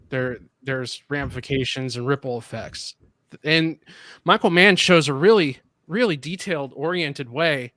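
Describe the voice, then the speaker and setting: A man reflects on a movie in measured, thoughtful sentences, pausing to find his words. His voice sits at an ordinary pitch, recorded dry and close. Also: fairly bright tone, no room sound. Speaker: guest, movie reviewer. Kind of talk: casual discussion.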